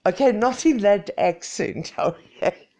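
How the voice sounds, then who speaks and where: A woman's voice: mid-range voice, expressive, a little slow, warm tone. A woman in her sixties with a Greek South African accent, chatting playfully and mocking herself on a home live stream. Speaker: vlogger. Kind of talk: casual live-stream chat.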